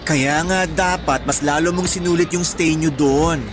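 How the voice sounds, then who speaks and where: A woman's voice: low-pitched, steady tone, measured pace. A woman playing a teasing, nagging, easily exasperated friend in Tagalog radio drama dialogue. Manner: teasing, exclamatory. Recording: loud music bed under voice.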